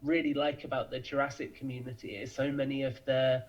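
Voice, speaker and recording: A man's voice: mid-range voice, flat delivery, a little fast. A young British man chatting casually and enthusiastically as a podcast guest, over dull, lo-fi audio. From United Kingdom. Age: young adult. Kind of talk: casual chat.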